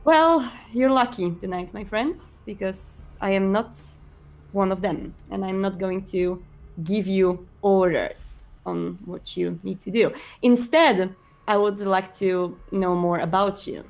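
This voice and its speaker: A woman's voice: medium pitch, a little mellow. Woman playing a courteous, formal vampire prince. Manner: polite, formal, measured. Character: courteous, welcoming male vampire prince, smooth host.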